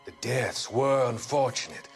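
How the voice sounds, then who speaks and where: A man's voice: medium pitch, a little slow, creaky, faint music behind. A man acting a cold, manipulative doctor with formal, clinical wording in a video game drama. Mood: cold, insistent. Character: cold, manipulative male doctor, smoothly detached. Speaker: actor in a video game cutscene.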